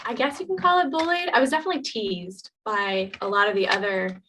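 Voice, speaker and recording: A woman co-host in her thirties with a bright, forward voice, chatting openly. She talks in loose, casual sentences full of small pauses and restarts. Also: on the high side, slight background noise, rough recording. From United States. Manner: candid, many ums and restarts. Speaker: podcast co-host. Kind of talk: casual chat.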